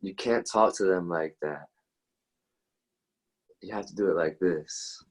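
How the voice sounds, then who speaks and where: Deep, husky, gentle eccentric man, acted by a man. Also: unhurried. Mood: grave, gentle. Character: grave, gentle, eccentric homeless-looking pigeon-whispering man. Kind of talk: staged reading dialogue.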